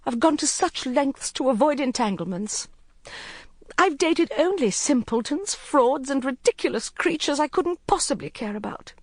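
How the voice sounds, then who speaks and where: An anxious young woman on the edge of tears, voice pitched well up and trembling as she pleads, as a woman reader puts her on. The sound is close and dry, as in an audiobook booth. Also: lively intonation, normal speed, no room sound. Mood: agitated. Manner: high, tearful, pleading. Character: distressed young woman, emotional and restless.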